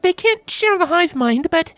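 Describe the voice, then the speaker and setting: An earnest young-sounding pony princess, acted out by a man, speaking far above a normal male register. The delivery is that of a storybook character, gentle and sincere, over faint music. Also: very high voice, expressive, a little slow, pitched above the performer's own voice, heavily acted. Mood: meek, apologetic. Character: female pony princess, meek, contrite, earnest matchmaker. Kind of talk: fan fiction audiobook character voice.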